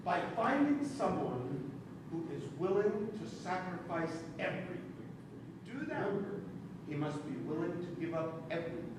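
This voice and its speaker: An actor playing a mocking god of satire in a stage play, speaking in a grandiose, sardonic, declamatory way. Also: male voice, high voice, sing-song, normal speed. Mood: sly challenge. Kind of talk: projected live stage play acting. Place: theater stage, recorded from afar. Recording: distant, echoey theater recording with background noise.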